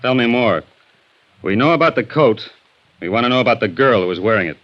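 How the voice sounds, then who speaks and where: An American actor playing a gruff, skeptical police interrogator in a 1950s radio drama, blunt, sardonic and pressing. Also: male voice, mid-range voice, lively intonation, brisk, lightly acted. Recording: dull vintage 1950s radio recording.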